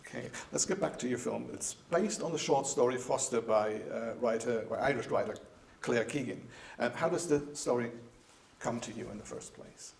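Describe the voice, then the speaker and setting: Male interviewer, mid-pitched, asks friendly, plain questions. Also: normal speed, gravelly.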